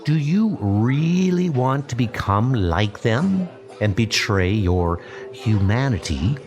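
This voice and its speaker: A man voicing a scheming, seductive sorceress in a comic book dub, speaking in a drawn-out, persuasive way. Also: medium pitch, big pitch swings, a little mellow. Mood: manipulative. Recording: music bed under the voice.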